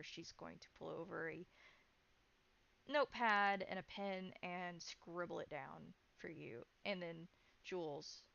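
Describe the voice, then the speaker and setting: A woman's voice: on the low side, creaky, bright, forceful tone, muffled recording. A woman running a tabletop game, improvising narration and explaining scenes in descriptive detail. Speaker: game master.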